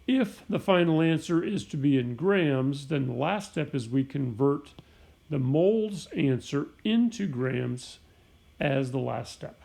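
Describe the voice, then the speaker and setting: Hoarse, raspy man teaching chemistry. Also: on the high side, lively intonation, measured pace, dark tone. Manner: plain step-by-step explaining, clears throat often. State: sick, hoarse voice. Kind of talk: recorded homeschool lesson.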